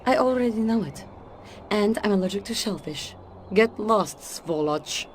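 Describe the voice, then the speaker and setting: A woman's voice: medium pitch, expressive, a little slow, slight background noise, close to an everyday voice. Woman playing a wry Russian-accented spy. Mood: wry, dismissive. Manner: guarded, wry, businesslike. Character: shrewd, wry female Soviet spy. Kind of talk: video game cutscene voice acting.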